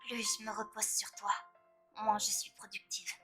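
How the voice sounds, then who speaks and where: A woman voicing a proud, sharp-tongued teenage girl in a French fan dub, talking in a cool, cutting way. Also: mid-range voice, flat delivery, normal speed, natural voice. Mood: self-critical. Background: faint music.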